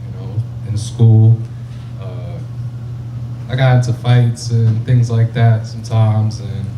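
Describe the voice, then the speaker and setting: A man speaking slowly and haltingly on a panel about his childhood. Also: fairly low pitch, steady tone. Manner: slow, halting, soft-spoken. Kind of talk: personal testimony. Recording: dull echoey room with loud hum.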